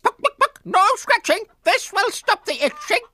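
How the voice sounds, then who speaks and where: A bossy little hen nurse from a children's storybook, her voice shrill and very high as she clucks out orders. She swoops up and down all over. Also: very animated, moderate pace, pushed and bright, a little hiss or hum, full character voice. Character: chirpy fussy hen nurse, female.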